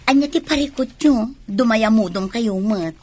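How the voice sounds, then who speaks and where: A woman's voice: on the high side, normal speed, a little mellow, slightly rough. An actress with a Filipino accent playing a showy, cajoling woman home from abroad in a radio drama, with a playful drawl.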